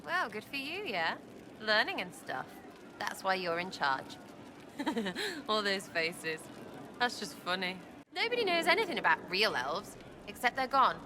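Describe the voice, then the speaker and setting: Brash, animated elf girl. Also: medium pitch, a little slow, background noise. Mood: blunt, amused. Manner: cheeky, blunt, slangy teasing. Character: brash, mocking young female elf rogue, irreverent and slangy. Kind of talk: dramatic video game acting.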